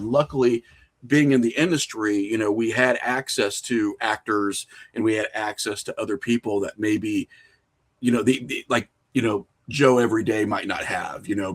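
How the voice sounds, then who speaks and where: A middle-aged American man telling stories casually as an interview guest, animated and often acting out imagined lines of dialogue. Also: lowish voice. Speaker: podcast guest, independent filmmaker.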